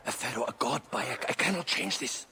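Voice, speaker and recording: An actor playing a conciliatory Egyptian political go-between in a video game, speaking in a measured, pleading, cautious way. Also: male voice, medium pitch, lively intonation, sharp tone, a little hiss or hum. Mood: anxious, pleading. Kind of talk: dramatic video game acting. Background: background music.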